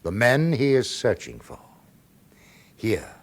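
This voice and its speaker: An actor playing a suave, menacing criminal mastermind in a radio drama, speaking formally and with measured, silky control. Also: male voice, mid-range voice, huge pitch swings, full character voice. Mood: gloating.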